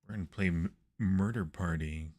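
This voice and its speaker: A man's voice: deep voice, moderate pace, darkish tone, soft background music. A man hosting a livestream, chatting casually with his viewers while playing games. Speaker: livestream host.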